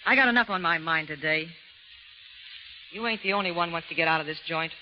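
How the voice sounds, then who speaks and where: A woman's voice: low voice, brisk, slight background noise, natural voice. An actress playing a tough, slangy female convict who shares a cell, in a vintage radio drama. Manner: hardboiled slangy, clipped. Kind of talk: 1940s radio acting. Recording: dull lo-fi old radio transcription.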